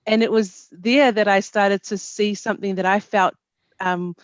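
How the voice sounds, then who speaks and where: A young woman, a panel guest answering thoughtfully, with many pauses and ums, over a headset on a video call. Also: mid-range voice, steady tone, no room sound. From New Zealand. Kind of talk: casual interview answers. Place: small indoor room. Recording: dry, dull video call audio.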